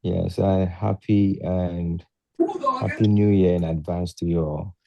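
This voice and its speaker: A man with a Ghanaian accent, a group chairman giving a formal, halting welcome address. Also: sits low, steady tone, gravelly, dry recording, dull audio.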